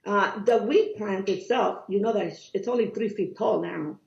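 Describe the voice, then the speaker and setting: A woman with a Cuban accent explains things emphatically, and a gravelly fry runs through her speech. The audio is dull and lo-fi, and it echoes around the room. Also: medium pitch, moderate pace. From Cuba. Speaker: guest doctor. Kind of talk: conversational interview.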